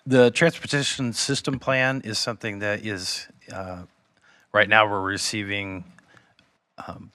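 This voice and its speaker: A man with a slightly raspy voice, presenting to a city council through a mask, hesitant with many ums and restarts. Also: on the low side, steady tone, unhurried, sharp tone, no room sound. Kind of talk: meeting presentation. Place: council chamber. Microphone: wearing a mask.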